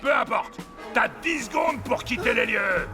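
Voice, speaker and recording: An actor playing a hot-headed, bullying construction boss in a cartoon, with a sneering, order-barking delivery. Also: male voice, extremely high pitch, animated, unhurried. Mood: mocking. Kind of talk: animated cartoon dialogue. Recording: loud music behind the voice. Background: loud cartoon score.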